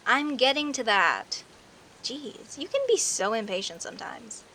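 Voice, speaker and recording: A woman's voice: on the high side, lively intonation, bright, forceful tone, poor audio. A woman playing a friendly, teasing werecat neighbor in an audio roleplay, talking conversationally and straight to the listener. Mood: amused, explaining. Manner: teasing, indignant, conversational with sighs and pauses. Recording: faint hiss and rain noise. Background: rain ambience.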